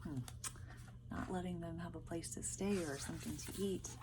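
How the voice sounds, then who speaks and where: A woman's voice: lowish voice, normal speed, noisy background. A woman teacher speaking casually to pupils, explaining and reading a storybook aloud. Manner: explanatory, addressing pupils directly.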